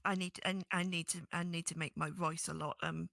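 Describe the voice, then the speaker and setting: A woman with a small, low-pitched voice full of gravelly fry and a bright, edgy tone. She speaks softly and hesitantly in casual chat. The sound is dry and close, with no room around it. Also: level tone, brisk, dry recording. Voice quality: small, not boomy voice. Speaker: podcast guest.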